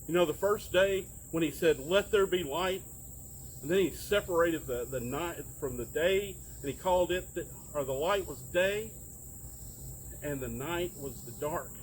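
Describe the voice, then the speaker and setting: Give the part of a friendly Bible patriarch telling stories to children to a man acting it out. His voice sits a little high, and he chats along, putting questions to his young listeners. The recording is outdoors, with clear insect noise around him. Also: measured pace, lightly acted, rough recording. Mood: gentle, earnest. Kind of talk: children's storytelling. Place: outdoors among trees and plants.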